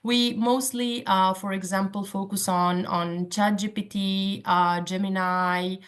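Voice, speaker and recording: A woman with a Romanian accent speaks as an online panelist. Her pace is very slow and measured, with hesitant phrasing and a mid-pitched voice. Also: fairly even intonation. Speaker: panelist, cluster representative.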